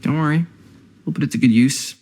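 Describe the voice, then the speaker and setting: An actor playing a nervy, earnest young American brother in a video game drama, speaking casually and hesitantly, eager to please. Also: male voice, on the high side, brisk, a little mellow, lightly acted.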